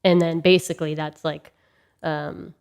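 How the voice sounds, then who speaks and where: A woman's voice: low-pitched, a little slow, clear, resonant tone. A woman in her thirties from Russia, a guest musician, chatting casually in a studio interview and acting out the voices of people in her stories. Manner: animated storytelling, acts out quoted voices.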